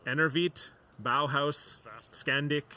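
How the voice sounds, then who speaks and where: Bright-voiced male curling commentator. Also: on the high side, takes it slowly, slight background noise. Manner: relaxed, chatty, reads sponsor lists. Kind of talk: sports commentary.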